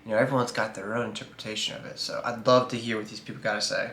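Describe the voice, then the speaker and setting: A man's voice: lowish voice, level tone, rapid-fire, bright, forceful tone. A man hosting a reaction video, chatting loosely and joking, with casual swearing. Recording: roomy echo, faint noise.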